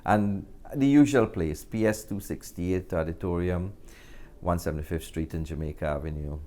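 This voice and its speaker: A Guyanese man speaks in a low-pitched voice, answering in a casual interview style. His phrasing is hesitant, with pauses partway through his sentences as he explains things. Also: warm tone. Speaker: interview guest, actor and filmmaker.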